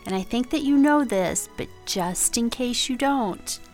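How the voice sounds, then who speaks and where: A woman co-hosting a podcast in casual chat, calm and earnest as she tells stories. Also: a little high, unhurried, soft background music. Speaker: podcast co-host.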